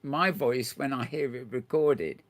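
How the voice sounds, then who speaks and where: A man's voice: medium pitch, a little slow, creaky. An older man hosting a casual chat show, rambling and restarting often as he tells a story. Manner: chatty, rambling, many restarts and stammers. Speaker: host.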